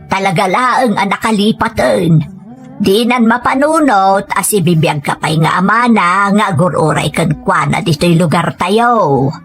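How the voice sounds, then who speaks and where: A woman with a northern Philippine accent acting a concerned, earnest aunt who gently nags in an audio drama. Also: medium pitch, a little slow, soft background music, natural voice. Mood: reassuring. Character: concerned, gently nagging middle-aged provincial aunt.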